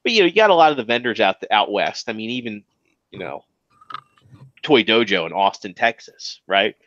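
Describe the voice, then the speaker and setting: A man's voice: fairly low pitch, animated, normal speed, a bit bright, no echo, muffled recording. A man with a Maryland accent co-hosting a podcast, rambling casually with lots of fillers and restarts. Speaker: podcast co-host. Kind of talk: casual chat.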